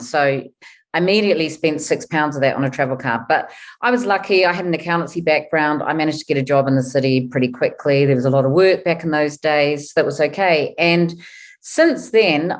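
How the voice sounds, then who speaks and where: A woman with a New Zealand accent speaks in a low, rather deep register. She is in her fifties and talks as an interview guest, chatty and thinking aloud as she answers. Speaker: guest, wealth coach.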